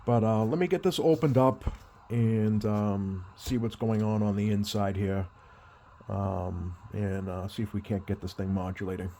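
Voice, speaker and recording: Low-voiced man thinking aloud, noisy background. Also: fairly even intonation, unhurried, dark tone. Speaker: CB radio repair technician demonstrating. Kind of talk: casual hands-on repair walkthrough. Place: indoor workbench. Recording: clear background noise.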